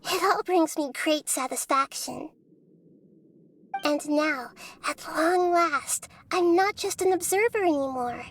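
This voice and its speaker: A woman voicing a small, gentle, curious child goddess, speaking in a thoughtful, measured way in an anime game. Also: high voice, a little hiss or hum. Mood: quietly excited. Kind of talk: anime video game dub dialogue.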